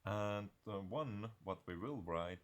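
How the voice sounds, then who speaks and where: A man giving an unscripted software screencast tutorial in a halting, hesitant way. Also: lowish voice.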